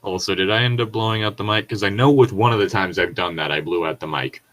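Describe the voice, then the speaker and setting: A man co-hosting a podcast, reading aloud with casual, joking commentary in a rambling, nitpicking way as he thinks out loud. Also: on the low side, quick pace. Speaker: podcast co-host.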